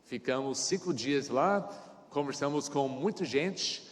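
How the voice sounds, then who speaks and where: A man's voice: medium pitch, animated, unhurried, in a large hall. A middle-aged man, a pastor with an American accent and English as his first language, preaching a sermon in Portuguese in short phrases with small grammar slips and a frequent "tá?". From United States. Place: church sanctuary.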